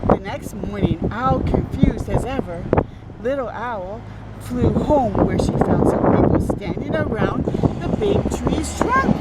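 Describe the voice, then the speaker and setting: A woman reading a story aloud for children, expressive and speaking directly to her young listeners with asides. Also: on the high side, measured pace, very noisy.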